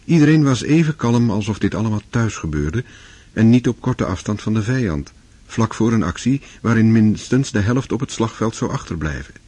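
A low-voiced man narrates a radio drama in a scripted, literary style. His voice is husky and recorded very dry. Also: expressive, moderate pace, dark tone, no room sound.

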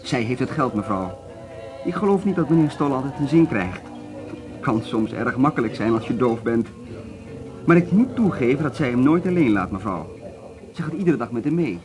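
A man's voice: mid-range voice, expressive, normal speed, music in the background, close to an everyday voice. Polite, gossipy hotel man acted by a man. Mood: discreet gossip. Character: deferential, gossipy male hotel employee. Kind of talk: Dutch radio drama acting.

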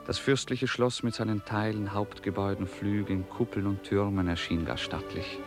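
A man with a deep voice reads literary narration for a radio drama. His phrasing is measured and deliberate, with faint music at times behind him. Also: fairly even intonation.

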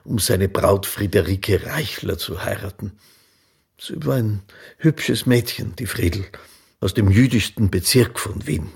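An actor playing a sober witness who recounts a life story factually in a radio play. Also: male voice, deep voice, creaky, darkish tone, slightly rough, full character voice.

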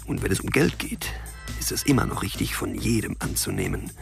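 An actor playing an edgy, suspicious small-time cocaine dealer of about forty in a radio crime drama, muttering sardonically. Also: male voice, low-pitched, huge pitch swings, fairly quick, gravelly, soft background music, exaggerated voice. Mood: paranoid, brooding. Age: around 40. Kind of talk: radio crime drama acting with inner monologue.

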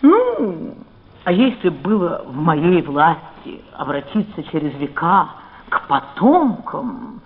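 A woman's voice: on the low side, sing-song, takes it slowly. An actress playing an imperious, witty Elizabethan queen in a radio play, with a stately, wry, declamatory delivery. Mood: solemn, stately. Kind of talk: theatrical radio play acting. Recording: dull vintage radio drama recording.

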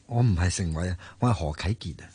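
A man's voice: low voice, fast talker, mellow, breathy edge, no room sound. An actor in a Hong Kong radio drama playing a hesitant, flustered young man with a Hong Kong Cantonese accent. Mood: flustered polite. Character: flustered, earnest young man mistaken for another. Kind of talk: broadcast radio drama acting.

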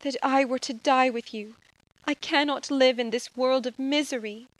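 A man voicing a loving, despairing young noblewoman with a quiet, tearful voice in an audiobook. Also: very high-pitched, normal speed, no echo, pitched above the performer's own voice. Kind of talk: audiobook reading of a classic novel.

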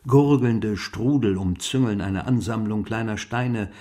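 A man playing a brooding diarist in a radio play, delivering a reflective, poetic monologue in a deliberate manner. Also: on the low side, warm tone, natural voice. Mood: dramatic, poetic. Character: brooding, literary male diarist observing the sea.

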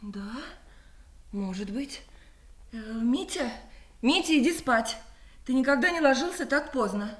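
A woman playing an anxious, caring mother in a children's audio play, expressive and a little fretful. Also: high-pitched, normal speed. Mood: uneasy, gentle. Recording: echoey studio reverb.

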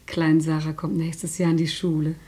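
A husky, unflappable old housewife. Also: female voice, low-pitched, level tone, moderate pace, mellow. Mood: matter-of-fact. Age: older. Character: elderly housewife and grandmother, matter-of-fact, unflappable. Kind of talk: radio drama acting.

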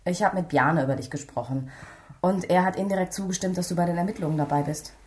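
A woman's voice: lowish voice, fast, a little mellow, lightly acted. A woman playing an experienced police detective in a radio crime drama, dry and matter-of-fact, a teasing but stern mentor. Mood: confiding.

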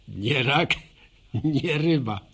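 Old village postman, radio drama monologue, slow, folksy, wry, self-mocking, bright forceful edge, husky. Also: mid-range voice, slight background noise, full character voice. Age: older. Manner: colloquial rustic storytelling, rambling, wry.

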